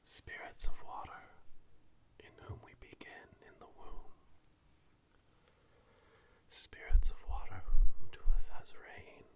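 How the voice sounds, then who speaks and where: A performer voicing a solemn witch chanting a ritual invocation in a breathy whisper, as an ASMR roleplay close to a binaural microphone. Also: close to an everyday voice. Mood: solemn, reverent. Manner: slow, solemn, chanting, ceremonial. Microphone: close binaural microphone, ear to ear.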